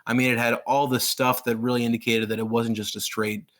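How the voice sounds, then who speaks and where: A man raised in Pakistan, in his thirties, chatting as a guest author in an interview. He rambles with plain enthusiasm, full of "you know" and false starts. His voice sits at an ordinary middle pitch for a man. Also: level tone, brisk, fairly bright tone. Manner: rambling, enthusiastic, many fillers and restarts. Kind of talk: casual interview chat.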